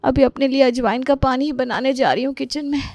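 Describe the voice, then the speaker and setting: Young scheming daughter-in-law, voiced by an actress, high pitch, meek on the surface, animated family drama, dry sound. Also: female voice, brisk, no room sound. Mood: meek, ailing. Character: sly young daughter-in-law Shravani, feigning illness. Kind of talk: Hindi animated moral story acting.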